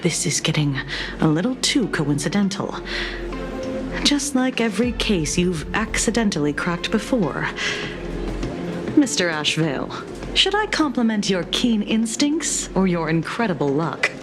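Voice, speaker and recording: A shrewd, composed policewoman from a video game. Her voice is on the low side, measured and teasing with a skeptical edge. Music is clearly heard underneath. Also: big pitch swings. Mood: dry, teasing.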